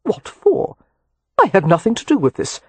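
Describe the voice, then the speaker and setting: An audiobook narrator voicing an impatient young gentleman who is brusque and sardonic. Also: medium pitch, very animated, moderate pace, husky, no room sound. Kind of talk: audiobook character voice.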